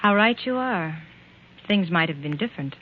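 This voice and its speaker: A woman acting a jealous society wife in a 1940s radio mystery. She is coolly formal and pointed, in a fairly low voice, heard through muffled, narrow old broadcast audio. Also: expressive, normal speed, a little hiss or hum, lightly acted. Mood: veiled jealousy.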